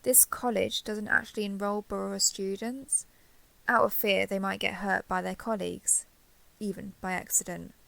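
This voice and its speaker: A young dragon girl of giant size , acted by a woman, speaks intimately to the listener in an audio roleplay. Her voice has a clear creak at an ordinary female pitch. She is gentle, nervous and apologetic, hesitating and rambling as she goes. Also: little pitch movement, moderate pace. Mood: gently curious.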